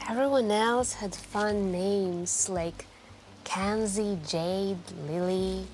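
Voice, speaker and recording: A woman playing a wistful, lonely stranger in an intimate, soft-spoken ASMR roleplay, hesitant and confiding as she rambles. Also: mid-range voice, extremely slow, a little hiss or hum, rough recording. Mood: shy, warm. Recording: soft background music. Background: quiet background music.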